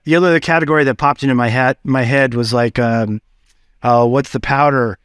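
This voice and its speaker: A man hosting a business podcast, talking in an energetic, chatty way with quick shifts and casual fillers. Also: mid-range voice, smooth, no room sound, studio quality. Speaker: podcast host.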